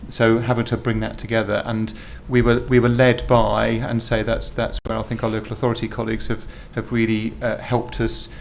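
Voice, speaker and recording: Halting, monotone man at a board meeting. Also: medium pitch, a little hiss or hum. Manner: measured, halting, many restarts. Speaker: health board executive. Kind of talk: formal meeting remarks.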